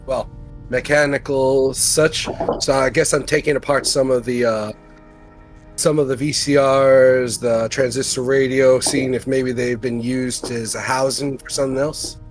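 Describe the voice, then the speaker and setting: A man with a medium-pitched voice takes part in a tabletop roleplay game. He casually talks through his character's actions while music plays softly in the background.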